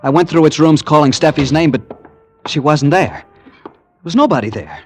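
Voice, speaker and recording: A man's voice: a little high, animated, normal speed. An actor playing an anxious, insistent young man searching for his missing girlfriend, in old-time radio drama style. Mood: tense narrating. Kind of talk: old-time radio drama acting and first-person narration. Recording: vintage radio drama with background music.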